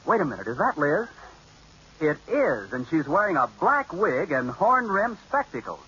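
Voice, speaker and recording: Bright-voiced vintage radio announcer man. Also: on the high side, very animated, moderate pace, husky. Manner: amused, conspiratorial narration. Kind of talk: scripted radio narration. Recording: lo-fi old radio recording with faint music.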